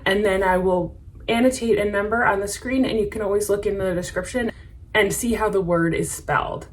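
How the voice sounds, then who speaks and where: An American woman, a French instructor, explaining words to camera in a patient, measured way and slowing to repeat each word carefully. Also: mid-range voice, rough recording. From United States.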